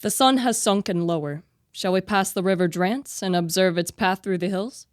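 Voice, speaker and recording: A woman's voice: medium pitch, normal speed, dry recording, studio quality, close to an everyday voice. Young woman playing a tormented, earnest young man. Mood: tender, uneasy. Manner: earnest, formal literary diction. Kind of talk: student radio play acting.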